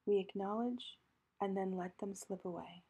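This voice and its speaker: Breathy, mellow woman guiding meditation. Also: mid-range voice. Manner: calm, gentle, measured instruction with pauses. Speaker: meditation guide. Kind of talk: guided meditation narration.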